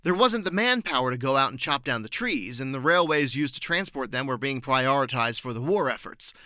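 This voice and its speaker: Bright, dry-recorded man explaining as guest. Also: medium pitch, expressive, a little fast, no echo. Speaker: interview guest. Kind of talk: prepared explanatory interview answers.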